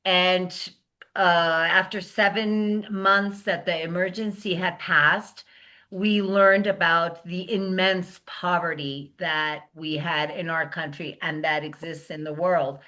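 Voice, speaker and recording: Middle-aged woman guest, slow and halting delivery, bright tone, lots of fillers and restarts. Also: on the low side, steady tone. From United States. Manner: slow, searching for words, many fillers and restarts. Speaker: interview guest. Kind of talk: casual interview talk.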